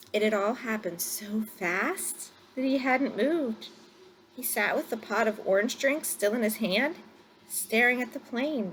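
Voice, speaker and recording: A woman narrating a story aloud from a script, phrasing it deliberately for drama. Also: medium pitch, a bit bright, a little hiss or hum, low-quality recording.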